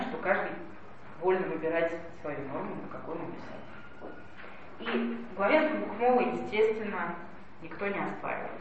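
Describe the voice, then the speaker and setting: A woman giving a spoken lecture, explaining things and addressing her listeners directly. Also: medium pitch. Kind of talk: spoken lecture on language policy. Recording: echoey distant lo-fi hall recording with noise.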